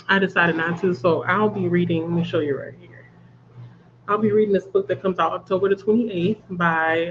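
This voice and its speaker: Stuffy-nosed woman hosting a reading stream from home, chatty, dull lo-fi sound w/ a little hiss. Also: medium pitch. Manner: chatty, laughs at her own jokes. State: congested from a cold. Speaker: livestream host of a reading sprint. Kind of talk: casual chat.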